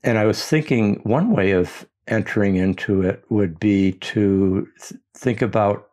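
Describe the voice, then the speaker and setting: A man's voice: on the low side, measured pace, a little mellow. An older man from the United States speaking reflectively with many hesitations, restarts and fillers. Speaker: speaker on his intellectual path. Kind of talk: reflective personal talk.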